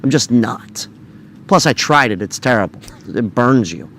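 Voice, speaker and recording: A man's voice: mid-range voice, lively intonation, measured pace, a bit bright, slight background noise. A man in his thirties talking in a casual vlog, chatty, blunt and joking. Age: 30s. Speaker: video creator.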